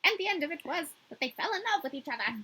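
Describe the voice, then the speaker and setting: Woman putting on a high-pitched, wordy male crow. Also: animated, racing, pushed and bright. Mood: chatty, informative. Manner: wordy, hard-to-enunciate put-on voice. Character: verbose, helpful male talking crow, courting sweetheart.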